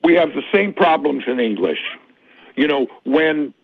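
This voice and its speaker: A man calling in to a show, asking his questions slowly and deliberately. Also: on the high side, lively intonation, creaky, dry recording. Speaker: caller. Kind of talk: phone-in question. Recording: tinny dry phone line.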